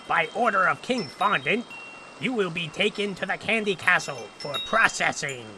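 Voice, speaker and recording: Pompous gingerbread commander, wildly swooping pitch. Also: male voice, normal speed, background noise. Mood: menacing. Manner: pompous, menacing, excitable. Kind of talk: sci-fi comedy audio drama acting.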